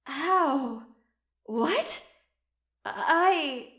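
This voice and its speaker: A woman's voice: sits high, lively intonation, extremely slow, fairly bright tone, no room sound, studio quality, full character voice. A woman playing an anxious young female pony in an audio drama, earnest and bewildered, full of self-questioning. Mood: shocked.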